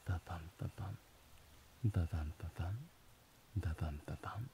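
A tender, devoted young servant, played by a man in an ASMR roleplay, murmuring in a very deep, mellow voice. He drifts into soft whispers and speaks at an unhurried, lingering pace, soothing and affectionate throughout. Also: slight background noise. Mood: doting.

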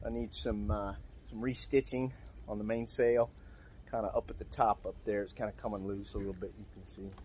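A man's voice: on the low side, brisk, a little hiss or hum. A middle-aged man vlogging from his sailboat at a marina. He talks casually and rambles, with lots of "uh"s and restarts. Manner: relaxed, rambling with many fillers. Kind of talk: casual vlog narration.

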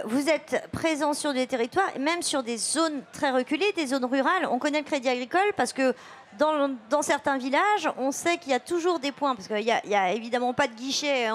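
Chatty woman interviewer, high voice. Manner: friendly, chatty, with "hein" and fillers. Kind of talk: casual interview questions. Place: outdoor stand at an agricultural fair, on a sofa. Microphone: handheld microphone.

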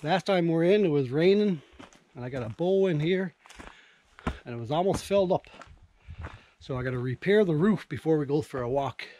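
A man's voice: fairly high pitch, animated, normal speed, pauses often, background noise. An older man from Newfoundland with a local accent, talking plainly through his cabin chores into a handheld camera outdoors. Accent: Newfoundland English. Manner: plain, matter-of-fact explaining of chores. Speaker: outdoor vlogger. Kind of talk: casual vlog narration. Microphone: action camera held at arm's length.

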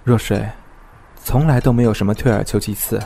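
Actor as frank young friend, music behind. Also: male voice, lowish voice, steady tone, brisk, gravelly, warm tone, slight background noise. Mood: earnest, defensive. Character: frank, protective young man, loyal childhood friend. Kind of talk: audio drama acting.

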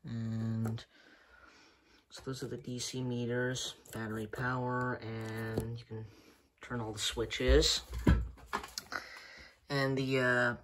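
A man casually showing a homemade build on a handheld phone camera in a room at home, talking in a hesitant, soft-spoken way. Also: mid-range voice, flat delivery, slow, long pauses, background noise. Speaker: hobby channel host. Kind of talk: casual show-and-tell vlog.

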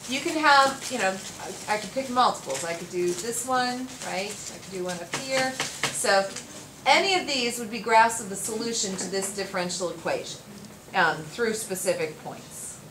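A woman's voice: medium pitch, expressive, moderate pace, recorded from a distance. Woman, math teacher, lecturing and quizzing students, echoey classroom, faint background hiss. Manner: explains step by step, quizzes students. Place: classroom at a projected whiteboard. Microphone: far camera.

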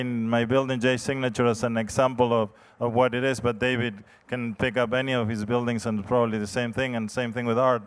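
A middle-aged man speaks on a conference stage through a handheld mic. His voice is smooth and clear, and his pitch sits mid-range. He talks in a measured, hesitant way, often restarting his sentences. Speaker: real estate developer panelist. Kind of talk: panel discussion answers.

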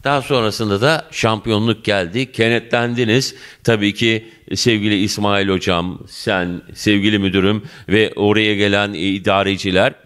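A man in his fifties interviewing a student in a school office, effusive and warm in address, holding a handheld mic. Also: lowish voice, fairly even intonation, normal speed, few pauses, clear, resonant tone. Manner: effusive, addresses viewers and guest as "dear". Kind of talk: local TV interview.

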